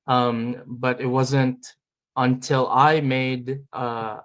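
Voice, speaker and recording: A man from the Philippines speaks at a middle pitch as a filmmaker guest in an interview. He talks in a reflective, unhurried way, pausing on "um" and restarting phrases as he gathers his thoughts. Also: flat delivery, fairly bright tone. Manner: thoughtful, many fillers and restarts.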